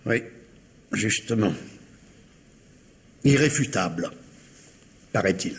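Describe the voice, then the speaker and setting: Formal, reluctant police commissioner. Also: male voice, lowish voice, expressive. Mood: dutiful, regretful. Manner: formal, deliberate, apologetic. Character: reluctant, dutiful police commissioner, courteous and measured. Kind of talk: 1950s French radio drama acting.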